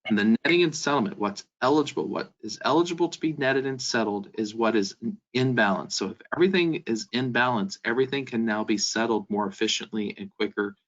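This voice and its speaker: A man giving an explanatory webinar presentation, speaking directly to his listeners as a business software presenter. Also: mid-range voice, fairly quick. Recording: dull, band-limited webinar audio.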